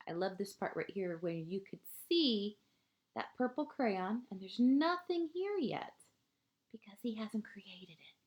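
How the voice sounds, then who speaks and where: A woman with a soft-edged, mellow voice tells a story to small children at an unhurried pace. She is gentle and expressive, leaves space between short phrases, and often lets the ends drop toward a hush. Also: mid-range voice, lo-fi sound. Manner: deliberate pauses, short phrases, asides to young listeners. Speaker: kindergarten teacher reading a picture book. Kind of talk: read-aloud storytelling for young children.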